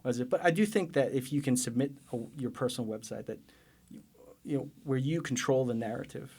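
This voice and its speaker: A male podcast host speaks at an ordinary pitch, his voice carrying a strong gravelly fry. He keeps the chat going with friendly prompts and questions. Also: a little fast, a little mellow. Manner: encouraging, asks follow-up questions. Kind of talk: interviewing a guest.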